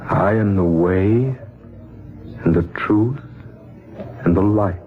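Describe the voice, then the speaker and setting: Wise mysterious elder, 1940s radio play. Measured, grave, prophetic delivery, muffled lo-fi sound. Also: male voice, low-pitched, slight background noise. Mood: solemn, cryptic. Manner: measured, solemn, oracular. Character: wise older man, a solemn mysterious mountaintop sage. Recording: muffled band-limited 1940s radio recording.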